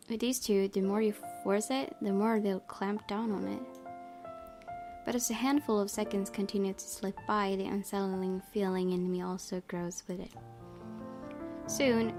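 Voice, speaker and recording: A woman gaming host reading a visual novel aloud as a narrator. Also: medium pitch. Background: game music.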